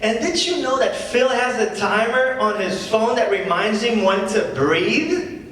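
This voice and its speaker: A man's voice: high voice, expressive, a little slow, a bit bright. A man acting as a cocky, defensive stand-up comedian with awkward, needling patter in a live script reading. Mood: cocky, teasing. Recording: distant echoey hall, faint noise.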